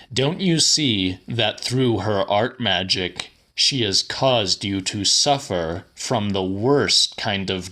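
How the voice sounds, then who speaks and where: A man with a lowish, bright and forceful voice reads literary fiction as a narrator. His delivery is very slow and deliberate, with theatrical emphasis throughout his first-person telling. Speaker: audiobook narrator.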